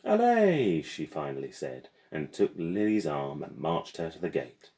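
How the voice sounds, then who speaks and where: Very deep-voiced male storyteller. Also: moderate pace, darkish tone. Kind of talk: reading a children's novel aloud.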